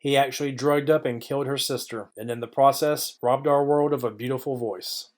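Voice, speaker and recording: A man acting as a cool noir private eye in a mystery audio play, in a medium-pitched voice. He lays things out deliberately, with confidence and wry wit. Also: fairly even intonation, natural voice. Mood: deductive. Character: cool, clever male private detective.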